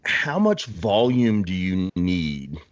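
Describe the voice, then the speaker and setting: Very deep-voiced joking man. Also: sing-song, slow, highs cut off. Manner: self-deprecating, joking, rambling. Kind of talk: casual chat.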